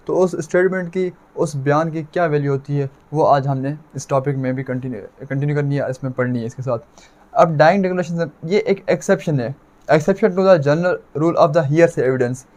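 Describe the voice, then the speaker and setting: A man's voice: fairly high pitch, a little mellow. A man with a Pakistani accent giving an explanatory law lecture, mixing in English legal terms, posing questions and answering them himself, and repeating phrases for emphasis. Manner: didactic, asks rhetorical questions and answers them.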